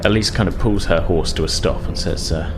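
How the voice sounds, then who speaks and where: A low-pitched man narrates a tabletop game as its game master. He improvises casually and hesitantly, feeling for the right words, with music clearly audible behind him. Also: fairly quick.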